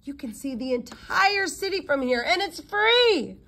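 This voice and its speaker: A woman's voice: sits high, sing-song, a little slow, sharp tone, pitched above the performer's own voice, heavily acted. A woman voicing a streetwise boy spy from a storybook, playful and a little boastful about his city. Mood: proud, eager.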